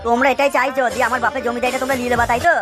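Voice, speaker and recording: Woman voicing a high, fast, pompous rich boy. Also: little pitch movement, over music, natural voice. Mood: indignant, ranting. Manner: pompous, scolding. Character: boastful, touchy young landlord's son, cartoon boy. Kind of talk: exaggerated comic cartoon dialogue.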